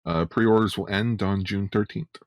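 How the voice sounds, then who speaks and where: A man's voice: on the low side, steady tone, normal speed, no echo, clean studio sound. A man co-hosting a podcast in casual chat, often repeating words as he gets going. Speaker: podcast co-host.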